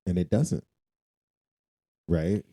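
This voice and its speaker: A man's voice: low-pitched, extremely slow, warm tone, dry recording, clean studio sound. A man co-hosting a podcast, talking casually about faith and repeating phrases for emphasis. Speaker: podcast co-host.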